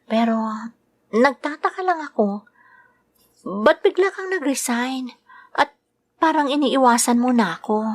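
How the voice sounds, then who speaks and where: A woman's voice: high-pitched, a little slow, pauses often. A woman with a Filipino accent acting a sweet, shy young office worker in a Tagalog radio drama, coy and hesitant. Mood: hesitant, hurt.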